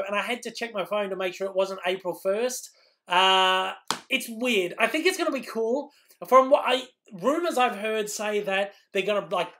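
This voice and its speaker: High-voiced Australian man, going hoarse. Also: pushed and bright. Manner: rambling, lots of ums and restarts. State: losing his voice. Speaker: YouTube host answering live chat. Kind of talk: casual live-stream Q&A.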